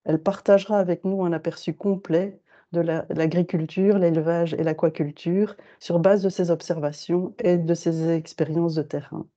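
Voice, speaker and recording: A woman's voice: lowish voice, fairly even intonation, moderate pace, warm tone. A woman moderating a webinar, thanking speakers and giving polished introductions. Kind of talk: formal moderation. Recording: muffled lo-fi video call audio.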